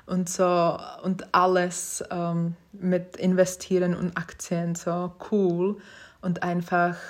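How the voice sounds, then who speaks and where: Podcast host, young woman speaking a second language, hesitant and encouraging, slow with flat intonation. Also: fairly low pitch. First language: not German. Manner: hesitant, many fillers and restarts; self-reflective, encouraging. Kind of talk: casual personal monologue.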